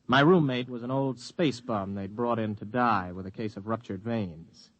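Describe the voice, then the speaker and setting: An actor playing a weary, blunt veteran flight officer, plain-spoken and terse, in 1950s radio drama style. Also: male voice, fairly low pitch, moderate pace, dry recording, lightly acted. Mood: narrating, weary. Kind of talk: 1950s radio drama acting with first-person narration.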